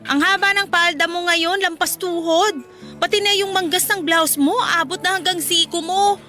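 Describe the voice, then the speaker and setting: A woman's voice: very high-pitched, moderate pace, soft background music. A Filipino woman playing a blunt, teasing young best friend in a radio drama. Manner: blunt, questioning, expressive.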